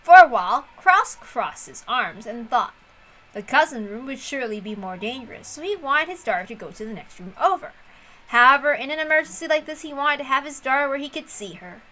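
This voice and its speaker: A woman's voice: high voice, lively intonation, brisk, sharp tone, poor audio. A woman chatting informally and reading a story aloud as a streamer, with chatty asides. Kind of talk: casual chat and dramatic read-aloud of a story.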